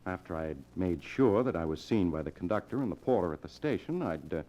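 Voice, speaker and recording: Cold controlling murder plotter, lowish voice, pushy and deliberate, old time radio drama, muffled old recording. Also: male voice, lively intonation, warm tone. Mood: coolly scheming. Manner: deliberate, insistent, commanding. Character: cold scheming younger man plotting murder, persuasive and controlling. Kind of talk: 1940s radio drama acting.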